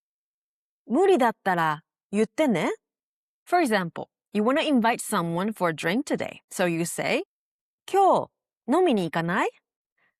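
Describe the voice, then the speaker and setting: Woman, Japanese accent, language teacher, scripted explanatory delivery, animated intonation, dry recording. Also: fairly high pitch, no room sound. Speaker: language teacher and show host.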